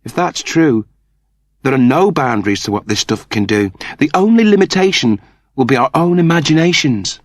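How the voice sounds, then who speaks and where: A man voicing a calm, sensible young woman crew member in a sci-fi audiobook, soothing and practical in manner. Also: medium pitch, normal speed, dry recording, studio quality, voice pushed up, lightly acted. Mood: intrigued, determined.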